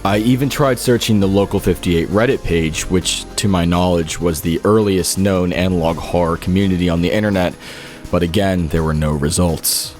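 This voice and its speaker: A man's voice: sits low, normal speed, darkish tone. A man narrating a scripted documentary-style video essay. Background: background music.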